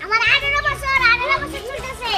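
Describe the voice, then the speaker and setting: Squeaky, childlike lovesick little guy. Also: steady tone, brisk. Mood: boastful wooing. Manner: pleading, indignant, boastful. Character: short, childlike eighteen-year-old boastful lovesick young man. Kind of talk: comic fairy-tale drama acting. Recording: distant mic under loud music.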